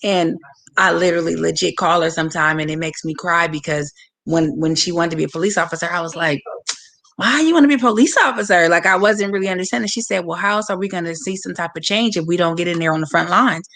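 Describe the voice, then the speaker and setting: A woman from San Francisco with a hoarse voice, a boxer speaking candidly and passionately as a guest on a livestreamed interview over a video call. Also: on the low side, fast, a bit bright. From San Francisco, USA. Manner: candid, passionate, talks straight to viewers.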